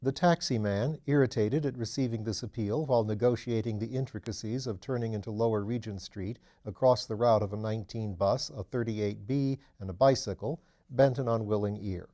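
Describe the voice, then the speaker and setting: A man giving measured, articulate scripted narration as an audiobook reader. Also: lowish voice, dark tone. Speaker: audiobook reader and program host.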